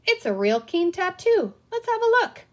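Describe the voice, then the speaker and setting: A woman's voice: very high voice, big pitch swings, normal speed, pitched above the performer's own voice. A woman voicing a playful little pirate captain, theatrical and exclamatory, with salty sailor talk, in a storybook reading. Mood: admiring.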